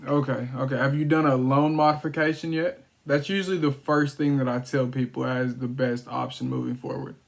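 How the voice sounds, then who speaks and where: A man with a fairly flat, mid-pitched voice talks in a casual, explanatory way. He sounds like a real estate cold caller walking someone through options in informal language. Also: a little fast, vocal fry, few pauses. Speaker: real estate wholesaler making cold calls. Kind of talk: casual chat and phone sales calls.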